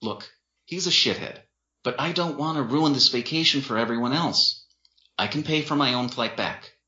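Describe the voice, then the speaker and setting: Man acting a distraught, sensitive young man, high-pitched. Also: moderate pace, bright, forceful tone, echoey room, dull audio. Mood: pleading. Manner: hesitant, upset, pleading.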